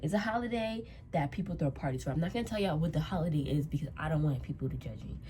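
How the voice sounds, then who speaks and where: Low, stuffy-nosed woman in her twenties. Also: animated, fast, dark tone, a little hiss or hum. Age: 20s. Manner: rambling, repeats herself, loose slangy talk; doing her makeup while talking. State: stuffy nose from a cold. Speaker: vlogger. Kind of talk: casual storytime chat.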